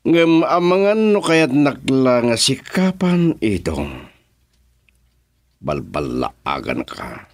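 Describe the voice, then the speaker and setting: A man with an Ilocano accent playing a menacing, quick-tempered crime boss in a radio drama, speaking in a commanding, threatening way. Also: mid-range voice, no echo, studio quality, full character voice. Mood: angry, threatening.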